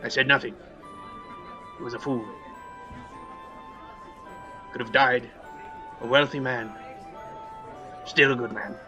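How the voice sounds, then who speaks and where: A man acts out a bitter man haunted by regret in an audio play. His voice is tense and forceful, with slow, halting, clipped answers. The sound is slightly dull. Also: medium pitch, steady tone, faint music behind. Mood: curt, bitter.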